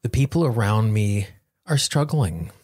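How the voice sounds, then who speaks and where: Low, husky-edged man hosting a podcast. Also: lively intonation, unhurried, darkish tone, no echo, clean studio sound. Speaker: podcast host and tapping coach. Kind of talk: guided self-help podcast, addressing listeners directly.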